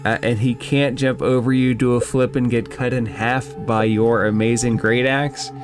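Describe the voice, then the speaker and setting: A man's voice: mid-range voice, little pitch movement, normal speed, music in the background. A man acting as game master, narrating and explaining rules in a conversational way during a tabletop role-playing game.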